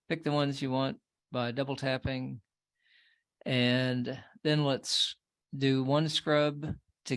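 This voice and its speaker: Flat, gravelly man giving tech steps. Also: medium pitch, no room sound. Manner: steady, methodical instructions. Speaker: tech segment presenter. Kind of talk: step-by-step tutorial.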